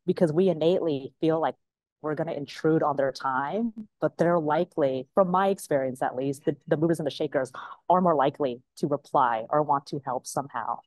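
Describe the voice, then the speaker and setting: A woman's voice: sits low, a little fast, darkish tone, no echo, rough recording. A woman giving conversational advice as a panelist on a video call through an earphone headset. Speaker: panelist, creative director. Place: indoors on a booth seat, on a video call.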